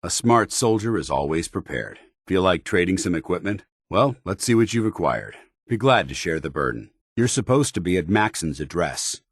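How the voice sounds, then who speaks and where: An actor playing a stern, earnest, dutiful male soldier in a video game, speaking with formal, measured military diction. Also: sits low, sing-song, slightly rough, no room sound, studio quality, full character voice. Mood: dutiful, helpful. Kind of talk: video game voice acting, companion dialogue.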